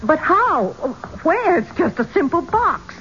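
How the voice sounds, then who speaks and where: A woman's voice: extremely high pitch, sing-song, takes it slowly, a bit bright, heavily acted. An actress playing an adventurous, brooding and curious woman trapped in a tomb, in theatrical, portentous 1970s radio drama style. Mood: anxious. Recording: dull, noisy old radio broadcast.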